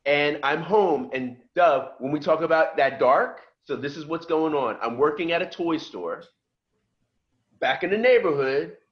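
A middle-aged man from just outside Philadelphia tells his story in a relaxed, conversational way, slipping into the voices of the people he quotes. His voice sits a little high for a man. The audio is dull and lo-fi. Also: measured pace. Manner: lively storyteller who acts out quoted voices. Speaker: podcast guest. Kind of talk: casual storytelling.

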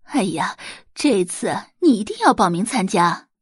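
A woman's voice: medium pitch, sing-song, a little slow, breathy edge, no echo, studio quality. A woman voicing a gossipy, pushy middle-aged mother in an audio drama, coaxing and exclaiming emphatically. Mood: eager coaxing.

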